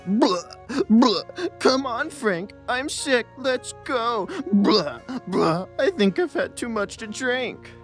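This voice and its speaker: A woman's voice: on the high side, animated, takes it slowly, soft background music. A woman voicing a mocking, vengeful male troublemaker in a theatrical audiobook performance. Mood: sing-song taunting. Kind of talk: audiobook character acting.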